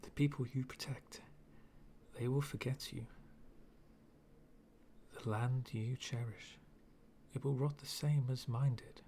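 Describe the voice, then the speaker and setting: Man acting a slow, brooding dark villain. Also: medium pitch, steady tone, slight background noise, lightly acted. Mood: bitter, brooding. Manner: slow, brooding, dramatic, half-whispered with long pauses. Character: fallen-world villain, male, bitter, menacing, coldly philosophical. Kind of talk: ASMR villain monologue roleplay.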